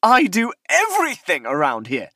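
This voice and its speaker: Vain, indignant storyteller: theatrical, huffy and hugely expressive in pitch, for audio comedy. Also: female voice, moderate pace, no room sound, clean studio sound, heavily acted. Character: indignant, vain female rival storyteller, easily outraged. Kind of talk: comedic audio drama acting.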